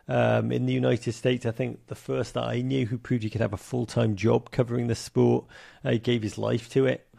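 A man's voice: mid-range voice, vocal fry, darkish tone, no room sound, clean studio sound. A man from England with a Liverpool accent, a soccer broadcaster and author, talking animatedly in an interview with many fillers. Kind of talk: conversational interview.

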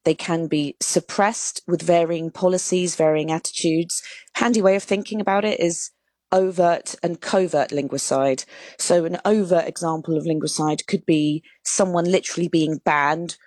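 A woman's voice: on the low side, normal speed, a little mellow, dry recording, studio quality. A young woman from the UK, an author, explaining her subject in a live interview, with repeated words and restarts. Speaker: author guest. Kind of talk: live interview on a phone line.

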